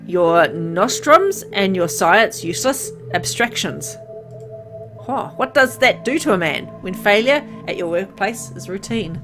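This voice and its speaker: A woman reading a horror visual novel aloud with expressive narration and casual asides to viewers. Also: mid-range voice, a little slow, faint music behind. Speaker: let's play reader.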